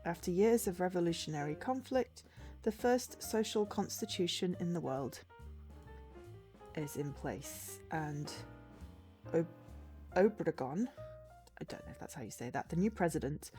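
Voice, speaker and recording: A woman streamer with a cold and a slightly low voice, sounding a little sniffly. She chats loosely and hesitantly, filling gaps with ums and tripping over unfamiliar names. Faint music plays underneath her talk. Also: lively intonation, moderate pace, dark tone. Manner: chatty and hesitant, full of ums, unsure of name pronunciations. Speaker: game streamer. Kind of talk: casual livestream chat, reading a game blurb aloud.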